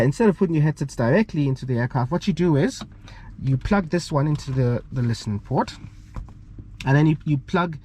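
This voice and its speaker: A man's voice: medium pitch, a little mellow, slight background noise. A man with a South African accent explains gear in an informal tutorial, talking in a hesitant, explanatory way and often checking in as he goes. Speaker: aviation channel host.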